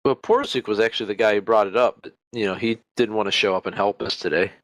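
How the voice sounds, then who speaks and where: A man from Cleveland, Ohio, with an Ohio American accent, co-hosting a podcast in joking, animated casual chat. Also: on the low side, fast, dry recording, low-quality recording. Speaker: podcast co-host.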